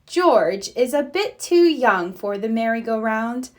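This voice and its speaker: A woman narrating a children's storybook in an expressive way for young listeners. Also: fairly high pitch, measured pace. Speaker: read-aloud storyteller.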